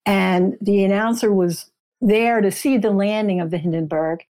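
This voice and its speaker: A woman speaking as an interview guest, explaining and telling a story in deliberate, short phrases. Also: medium pitch, steady tone, warm tone.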